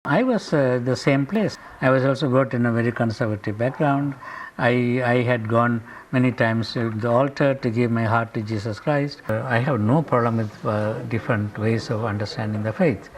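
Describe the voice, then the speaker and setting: A man's voice: mid-range voice, slight background noise, rough recording. Earnest older Sri Lankan man lecturing. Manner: earnest, measured, with frequent "uh" pauses. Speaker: professor. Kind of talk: lecturing and personal reflection. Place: classroom.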